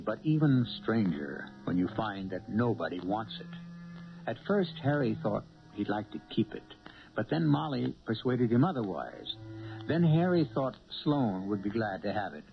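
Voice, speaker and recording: An actor playing a sly, theatrical host narrating a 1970s radio mystery with dramatic rhetorical questions. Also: male voice, on the low side, gravelly. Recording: dull old radio broadcast.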